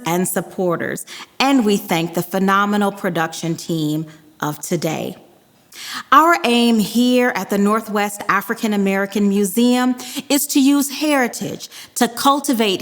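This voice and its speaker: A woman hosting an event, giving a formal scripted closing address with deliberate, measured phrasing and emphatic pauses. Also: mid-range voice. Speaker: event host and museum representative. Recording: reverberant hall sound.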